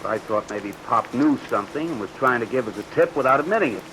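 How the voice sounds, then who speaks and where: An actor playing a brash, skeptical newspaper reporter, punchy and slangy, in a vintage radio drama. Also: male voice, medium pitch, fast, lightly acted. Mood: shrewd. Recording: vintage radio recording with rain noise. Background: steady rain.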